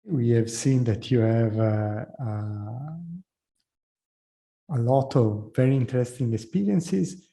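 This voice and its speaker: A man's voice: medium pitch, takes it slowly, gravelly, warm tone. A man hosting an interview, asking questions hesitantly with many uhs. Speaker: interview host.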